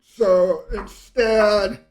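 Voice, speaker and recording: A man's voice: high voice, steady tone, very slow, a little mellow, rough recording. A middle-aged man in casual conversation, reminiscing and telling stories in a rambling way, often trailing off mid-thought. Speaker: podcast host and storyteller.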